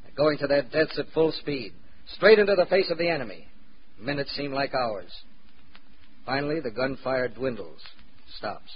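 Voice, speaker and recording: A man's voice: medium pitch, animated, natural voice. An actor playing a wry, plucky American war correspondent, narrating his experiences in a dramatic 1940s radio style. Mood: grave, dramatic. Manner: dramatic, measured, wry understatement. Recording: muffled, noisy 1940s radio recording.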